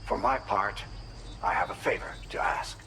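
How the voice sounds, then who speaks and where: An actor playing a formal, cerebral male contact who gives secretive counsel in a measured, expository way in a video game cutscene. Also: fairly low pitch, animated, bright, forceful tone, a little hiss or hum. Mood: grave, measured.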